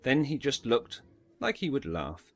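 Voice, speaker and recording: A man's voice: mid-range voice, huge pitch swings, a little slow. A man narrating a dramatised audiobook story in a storytelling style.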